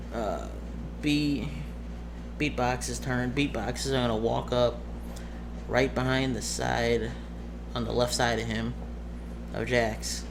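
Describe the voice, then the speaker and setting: A man working as game master, casually narrating a tabletop game in a halting way, muttering through dice sums. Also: mid-range voice. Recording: clearly noisy background.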